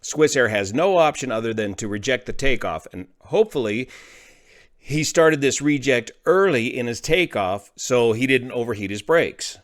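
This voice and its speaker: A man's voice: mid-range voice, lively intonation, moderate pace. A man with a mid-range voice delivers explanatory aviation commentary as a host. He talks emphatically and lays out each point step by step.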